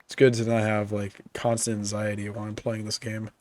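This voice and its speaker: A man streaming a video game, talking casually in a relaxed, rambling way with many fillers, his voice congested from a cold. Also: on the low side, a little fast, a little hiss or hum. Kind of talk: casual gaming commentary.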